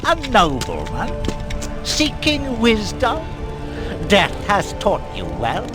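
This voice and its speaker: An actor playing a cryptic, eccentric oracle in a game, mocking and theatrical, speaking in sing-song riddles. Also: male voice, high-pitched, wildly swooping pitch, slow, full character voice. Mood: sly, amused. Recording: music under the voice.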